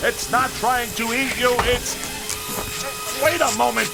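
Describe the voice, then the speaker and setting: An actor playing a pompous, exasperated scholar of the nineteenth century, with fussily formal, old-fashioned diction in a comic radio drama. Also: male voice, extremely high pitch, a little slow, gravelly, over loud music, exaggerated voice.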